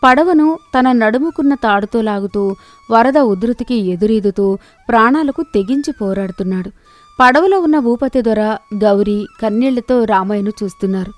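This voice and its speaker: A woman narrating a children's moral story in a steady, clear storytelling manner. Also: a little high, moderate pace, no room sound.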